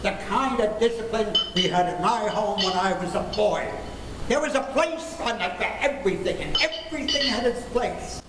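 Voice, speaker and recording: A man's voice: high voice, normal speed, close to an everyday voice. A man acts a blustery suburban father in a radio family comedy, recorded in a noisy, echoing hall. He grumbles and lectures with stern exasperation. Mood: indignant grumbling. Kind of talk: old-time radio family comedy acting.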